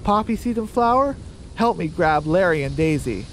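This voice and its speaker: A man's voice: high-pitched, animated, normal speed, slight background noise. A man voicing a sensible, commanding female cartoon chicken, the practical leader in a comic radio drama. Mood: urgent, scolding.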